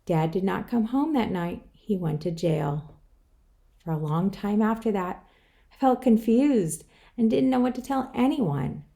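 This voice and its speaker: A woman's voice: medium pitch, animated, measured pace, vocal fry, dark tone. A woman author reading her own children's book aloud, telling it in the first person. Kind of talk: scripted children's story read-aloud.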